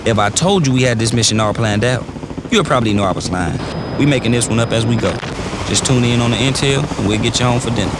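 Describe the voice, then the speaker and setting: An actor playing a brash, wisecracking male military briefing officer, with slangy patter over loud background noise. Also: medium pitch, close to an everyday voice. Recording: loud noise under game audio.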